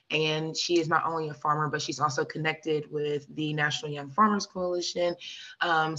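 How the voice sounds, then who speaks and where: A woman's voice: low voice, fairly bright tone. A woman in her thirties, a community organizer, introducing a guest on a video call with easy, filler-laced talk. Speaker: community organizer and meeting host. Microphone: laptop webcam on a video call. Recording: muffled video call audio.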